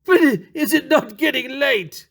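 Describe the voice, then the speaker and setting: A man's voice: extremely high pitch, lively intonation, measured pace, gravelly, a bit bright, voice pushed up, heavily acted. A man voicing a doomed, chained nobleman in a gothic story, pleading with nervous, forced laughter. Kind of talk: dramatic gothic story reading.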